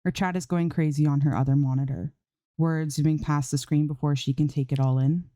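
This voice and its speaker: A woman's voice: sits low, steady tone, brisk, dark tone, dry recording, clean studio sound. A woman narrating a scripted piece of fan fiction as an amateur story reader.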